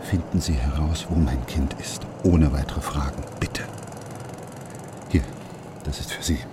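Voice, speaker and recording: An actor playing a secretive, worried brain surgeon father who speaks in an urgent, hushed, pleading way. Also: rumbling low, a little slow, noisy background.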